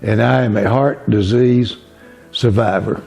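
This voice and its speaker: A man in his seventies telling his own story to camera in a plain-spoken, unhurried way. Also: mid-range voice, steady tone, vocal fry, a little mellow, soft background music. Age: 70s. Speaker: interviewee.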